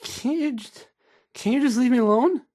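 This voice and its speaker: An actor playing a timid, insecure young radio host in a video game, stammering and hesitant, trailing off anxiously. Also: male voice, very high-pitched, normal speed, darkish tone, no echo, studio quality. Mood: distressed, pleading.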